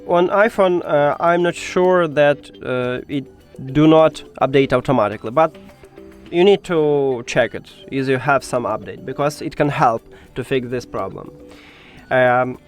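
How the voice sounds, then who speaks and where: A man narrating a step-by-step tech tutorial in informal, slightly non-native English phrasing, pausing hesitantly as he explains. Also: fairly high pitch.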